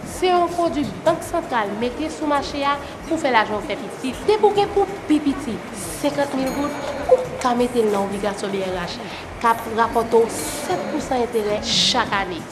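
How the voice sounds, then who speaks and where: A woman playing a chatty friend pitching bonds. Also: animated, moderate pace, natural voice. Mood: persuasive. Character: confident woman explaining bank bonds to a friend. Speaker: radio ad character. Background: music.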